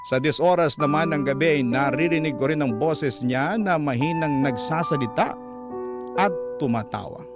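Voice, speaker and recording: Male radio drama narrator, slightly high pitch, reading a personal letter as a story, clear music bed. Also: moderate pace.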